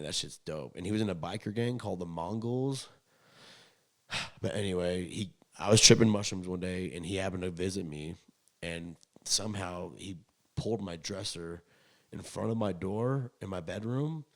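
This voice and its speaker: Young dude, kinda low voice, casual podcast storytelling, slangy and sweary. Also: male voice, moderate pace. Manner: slangy, profane, animated when acting out quotes. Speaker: podcast host. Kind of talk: casual rambling storytelling chat.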